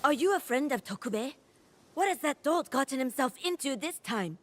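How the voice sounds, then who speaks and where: A fed-up wife from a video game, with a high, swooping voice. She is sharp-tongued, heaping sarcasm and scorn as she speaks. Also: animated, moderate pace. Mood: exasperated. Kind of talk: video game cutscene acting.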